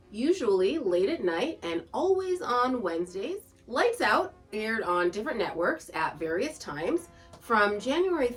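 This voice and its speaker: A woman's voice: a little high, distant mic, rough recording. A woman hosting a podcast in chatty, semi-scripted narration, with a playful, giggly manner and folksy asides. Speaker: podcast host. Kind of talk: chatty semi-scripted narration about old radio shows. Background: background music.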